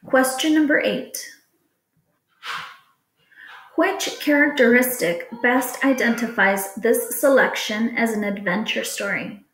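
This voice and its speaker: A woman teacher reading test questions aloud to students in a deliberate, instructional way. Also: a little high, a bit bright, slight background noise. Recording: echoey room.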